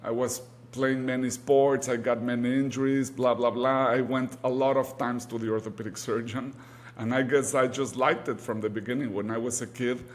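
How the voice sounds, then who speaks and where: A man's voice: medium pitch, level tone, normal speed. A man from Mexico City, a native Spanish speaker with a Mexican accent, answering interview questions as a surgeon, with hesitant phrasing and repeated words for emphasis. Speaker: guest, orthopedic surgeon.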